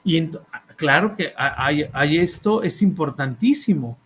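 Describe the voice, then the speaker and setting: Hesitant Mexican man, slow academic talk. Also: a little high, lively intonation, fairly bright tone, slight background noise. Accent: Mexican Spanish. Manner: hesitant, frequent fillers and repeated words, checks in with "¿sí?". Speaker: author and therapist presenting a book.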